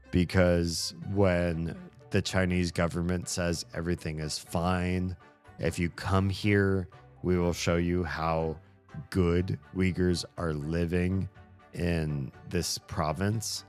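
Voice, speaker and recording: Man, low voice, unhurried, casual stream chat, hesitant, thinking aloud. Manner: musing, hesitant, thinking aloud with many pauses.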